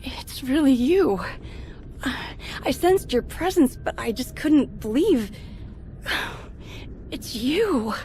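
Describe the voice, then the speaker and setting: A woman's voice: high voice, lively intonation, slow, long pauses, mellow, slight background noise, exaggerated voice. An actress playing an earnest young female Jedi in a video game, with an open, eager manner. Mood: awed, relieved. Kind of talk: dramatic video game acting.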